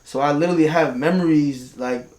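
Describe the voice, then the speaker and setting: Young male guest, animated storyteller, drifts onto tangents, echoey room. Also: fairly high pitch, fairly quick. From Turkey, raised in Michigan and New York. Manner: slangy, rambling, goes on tangents, laughs often. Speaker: guest, hip hop artist. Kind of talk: casual interview chat. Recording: roomy room echo.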